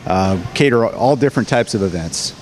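A man's voice: fairly low pitch, animated, normal speed, a little mellow. An American man in his forties, a cafe owner explaining his business casually in an interview. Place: indoors in a cafe, behind the counter. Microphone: interviewer's handheld microphone. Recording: clear background noise on location.

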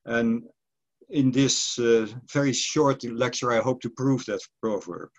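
A man's voice: medium pitch, a little slow. Older Dutch man lecturing on a call. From Netherlands. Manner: relaxed, explanatory, with frequent hesitations. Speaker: presenter. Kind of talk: online lecture. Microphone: video call. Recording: slightly dull online call audio.

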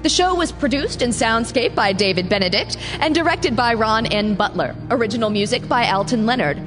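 Female announcer, slightly high voice, playful and theatrical, reading credits over clear music. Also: lively intonation, a little fast. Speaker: show announcer.

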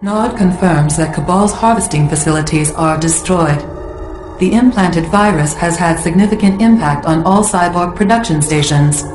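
A woman performs a female battlefield AI in a video game, measured and impersonal. Her voice is lowish and echoing, under loud music. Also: lightly acted. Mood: calm, precise. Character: calm, precise female military computer AI. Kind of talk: formal status report in game cutscene.

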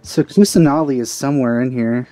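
A man's voice: mid-range voice, a little fast, dark tone. A man giving casual commentary as he plays a video game. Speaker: let's player. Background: game music.

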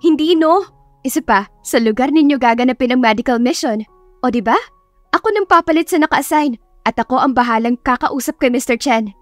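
A woman's voice: sits high, a little fast, dry recording, soft background music, natural voice. A woman voicing an anxious, pleading twenty-five-year-old daughter, stubborn and romantic, in an emotive audio drama style. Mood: pleading, coaxing.

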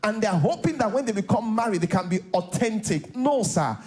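A man's voice: high voice, expressive, brisk, a little mellow, soft background music. A middle-aged Nigerian man preaching a sermon on a large church stage, repeating key lines and calling out for responses from the congregation. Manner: repetitive, call-and-response preaching. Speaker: pastor.

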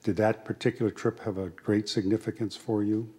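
A man moderating an interview, asking questions in a deliberate, polite way with many pauses. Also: on the low side, flat delivery, mellow, slightly rough. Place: university panel event room.

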